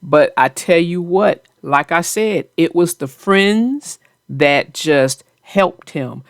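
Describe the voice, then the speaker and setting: A woman's voice: fairly low pitch, animated, takes it slowly, no echo, clean studio sound. A woman hosting a children's story show, chatting in a folksy, playful, teasing way. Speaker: host and storybook narrator. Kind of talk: children's read-aloud and casual chat about the story.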